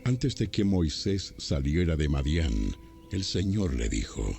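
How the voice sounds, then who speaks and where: Man narrating bible drama, gravelly low voice, expressive delivery, reading a script. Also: slow, soft background music.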